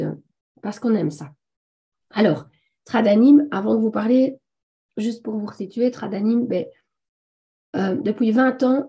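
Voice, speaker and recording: A woman with a hoarse voice from a sore throat, enthusiastically and a little haltingly presenting a live online webinar as host. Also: mid-range voice, mellow. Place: online video conference.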